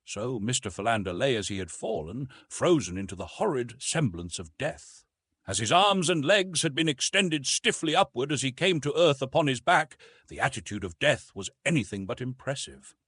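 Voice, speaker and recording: A man narrating a classic adventure novel in an expressive, theatrical storytelling manner. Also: mid-range voice, normal speed, husky, no echo. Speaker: audiobook narrator.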